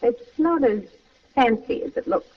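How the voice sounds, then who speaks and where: A woman's voice: fairly high pitch, lively intonation, unhurried, slight background noise. A muffled, slightly distant vintage radio recording features a seductive, calculating wife. Her delivery is coy and theatrical, in crime-drama style. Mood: coy, scheming. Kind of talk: old-time radio crime acting.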